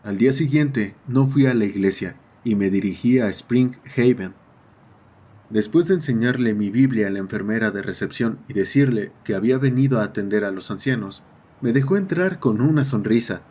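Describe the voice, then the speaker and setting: A man playing a schoolboy who narrates his own horror story in a measured, serious first-person style. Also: medium pitch, warm tone, husky, lightly acted. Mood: sombre.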